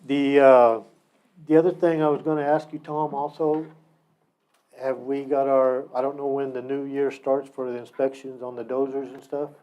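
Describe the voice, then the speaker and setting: A man with a soft, mellow voice and little rise or fall, speaking as a county commissioner. He rambles and restarts his sentences, thinking aloud. He talks into a table microphone in a meeting room. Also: medium pitch, little pitch movement, poor audio. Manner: halting, rambling, repeats himself. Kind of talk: casual remarks at a public meeting.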